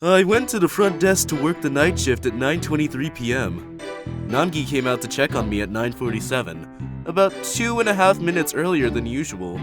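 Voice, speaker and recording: A man's voice: mid-range voice, expressive, over music, natural voice. Man doing a spaced-out rambling hippie yak. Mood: spacey. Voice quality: dreamy, rambling, drawn-out. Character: spacey laid-back male yak, hippie spa worker.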